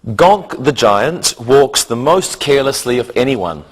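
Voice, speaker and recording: Slow-paced male English teacher. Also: medium pitch, animated, slightly rough. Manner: deliberate, instructional delivery. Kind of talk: scripted English lesson for beginners.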